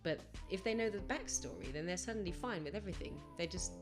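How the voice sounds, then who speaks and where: Low-voiced woman, chatty guest. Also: animated, quick pace, over music. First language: English first language. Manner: chatty, reflective, rambling with fillers and self-corrections. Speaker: singer-songwriter guest. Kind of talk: casual podcast interview storytelling.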